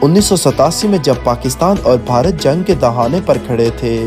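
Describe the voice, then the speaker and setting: A man with a Pakistani accent delivers a scripted news report. His voice sits at an average male pitch and his delivery is formal and declarative. Music plays clearly behind him throughout. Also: expressive, normal speed. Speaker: news narrator. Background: background music.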